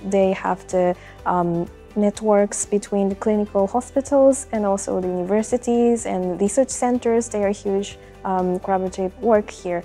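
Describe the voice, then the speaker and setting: A young woman from Tokyo, a doctoral student, speaking softly and hesitantly in an empty lecture hall. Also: medium pitch, fairly even intonation, normal speed, faint music behind. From Japan, Tokyo. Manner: soft, hesitant with fillers. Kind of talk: promotional interview. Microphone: lapel mic.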